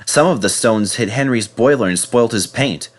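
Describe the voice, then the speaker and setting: A man reading a children's story aloud in an animated, scripted narration style. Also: medium pitch, normal speed. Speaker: storybook reader.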